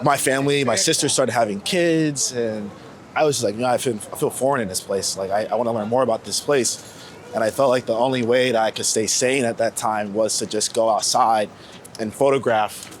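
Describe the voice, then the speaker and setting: A young American man from Florida talking casually and candidly in an interview, with informal, slangy speech. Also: mid-range voice. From United States, Florida. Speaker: street photographer being interviewed. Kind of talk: casual reflective chat. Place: outdoors on New York city streets. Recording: outdoor street noise with passing vehicles. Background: city traffic ambience.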